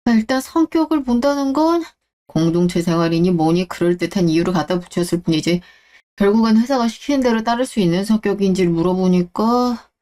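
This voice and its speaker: A woman's voice: medium pitch, mellow, natural voice. A woman voicing a smug, shameless middle-aged ex-husband in a radio drama, blustering and talking down to people. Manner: blustering, condescending, coarse. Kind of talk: Korean radio-drama story acting.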